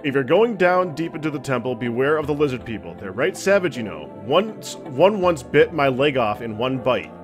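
A man's voice: medium pitch, very animated, moderate pace, few pauses, natural voice. A man voicing a touchy, self-pitying temple priest in a comic game dub, complaining theatrically over music. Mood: warning. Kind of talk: fan dub of comic role-playing game dialogue. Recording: music behind the voice.

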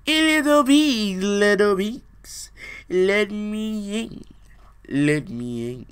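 A young man voicing a hungry big bad wolf, calling out slowly and theatrically in a storybook parody. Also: high-pitched, animated, clean tone, voice pushed up, exaggerated voice. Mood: menacing.

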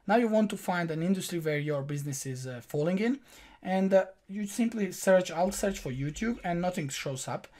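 A man's voice: a little high, darkish tone. A young man whose first language is Croatian, hosting a screen-recorded tutorial and walking viewers through each step in a direct, instructive way from a small room. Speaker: online tutorial host.

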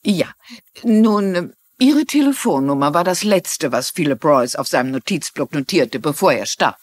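A woman's voice: deep voice, animated, unhurried, no echo. A woman playing a polite but persistent older amateur sleuth in a dubbed TV crime drama. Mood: politely insistent. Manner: courteous, insistent.

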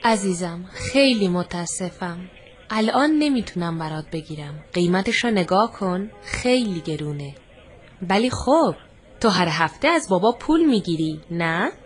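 A woman voicing a gentle, loving mother who speaks softly and patiently. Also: on the low side, lively intonation, lightly acted. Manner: soft, tender, patient.

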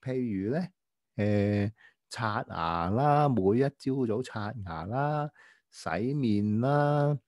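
A man voicing a prim, well-behaved young boy who gently lectures a friend in a children's radio drama, halting and patient. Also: mid-range voice, expressive, no room sound, studio quality. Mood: earnest, helpful.

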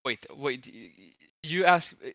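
A man hosting a heated casual debate over a voice call, insistent and quick to cut others off with repeated words. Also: medium pitch, dull audio, crowd noise. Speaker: debate host. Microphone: voice call mic.

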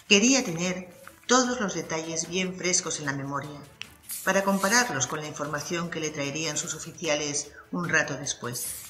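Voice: mid-range voice, bright, forceful tone, roomy sound, low-quality recording. A narrator reading a scripted crime story for an audiobook.

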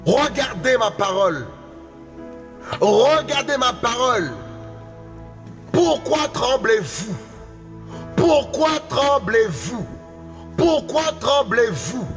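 A man's voice: extremely high pitch, big pitch swings, takes it slowly, pauses often, a bit bright. A man delivering a prophetic utterance, with intense, repetitive declaiming and speaking in tongues. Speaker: prophetic preacher. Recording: long hall reverb, faint music behind.

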